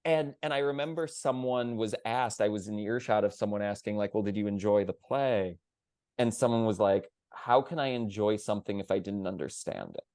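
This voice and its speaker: A man's voice: on the low side, normal speed, a little mellow, dry recording, studio quality. A man talking conversationally on a theater panel, earnest and emphatic, circling around his phrasing.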